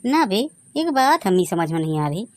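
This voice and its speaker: A man voices a brash young villager in a comedy cartoon, high and boyish with a Bagheli accent. He is colloquial, cajoling and quick to argue. The sound is close and dry. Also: very animated, no echo. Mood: cajoling, argumentative. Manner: colloquial, pushy, argumentative. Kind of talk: dialect comedy cartoon voice acting.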